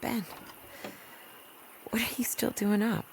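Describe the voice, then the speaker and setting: A woman's voice: on the high side, level tone, takes it slowly, gravelly, a little hiss or hum. A woman playing a weary, dogged rural doctor and mother in an audio drama, with a dry, blunt, wry delivery. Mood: tender, tired. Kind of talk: naturalistic audio drama acting.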